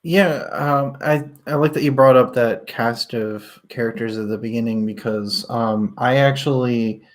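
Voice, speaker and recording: A man's voice: mid-range voice, a little slow. A man co-hosting a casual book talk show, rambling and hesitant, often laughing. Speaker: book podcast co-host.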